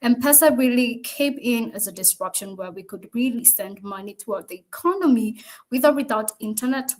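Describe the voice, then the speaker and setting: A woman moderating an online panel over a video call. She keeps things encouraging and moves speakers along with quick prompts. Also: mid-range voice, rough recording. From Kenya.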